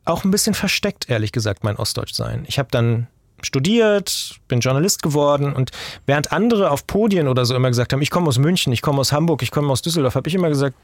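A man's voice: medium pitch, expressive, fast, dry recording. Thoughtful German man, dry close sound. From eastern Germany. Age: 40s. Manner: thoughtful, talks in long chains with restarts and tag questions. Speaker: journalist and podcast maker. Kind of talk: interview guest, reflective conversational talk.

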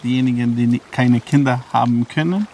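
A man's voice: mid-range voice, a little fast, mellow, slight background noise. Older male mountain guide telling legends. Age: 70s. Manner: hesitant, with frequent fillers. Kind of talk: telling a local legend in a report clip.

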